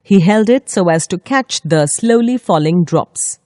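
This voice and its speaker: A woman storyteller reading a scripted children's story with expressive, deliberate phrasing. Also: mid-range voice, no echo, clean studio sound.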